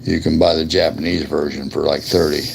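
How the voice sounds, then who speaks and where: Very deep, gravelly man, casual talk. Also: flat delivery, fairly quick, slight background noise. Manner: folksy, colloquial speech. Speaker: host of a lighter repair livestream. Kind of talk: casual hands-on demonstration chat.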